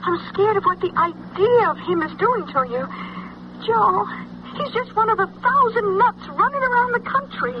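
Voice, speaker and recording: A woman's voice: very high-pitched, lively intonation, moderate pace. An actress playing a worried, exasperated woman in a radio drama, speaking in an emphatic, pleading, colloquial way. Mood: worried, tender. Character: worried, exasperated woman named Jenny, the everyman's partner. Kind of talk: old-time radio drama acting. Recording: dull, faintly noisy old radio recording.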